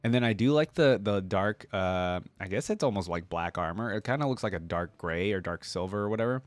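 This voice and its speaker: A man's voice: lowish voice, expressive, a little fast, no room sound, faint music behind. A man giving casual reaction commentary as a video host, thinking aloud in informal, slangy language.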